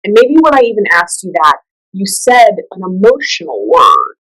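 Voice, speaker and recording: A woman leading a guided meditation, giving gentle instructions in a soothing, unhurried and conversational way. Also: medium pitch, wildly swooping pitch, rough recording.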